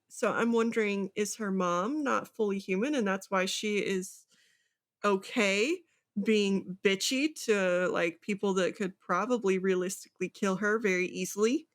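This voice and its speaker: A woman co-hosting a casual book discussion, thinking aloud and often checking that the listener follows. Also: mid-range voice. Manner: speculative, thinking aloud with rhetorical check-ins. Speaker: podcast co-host.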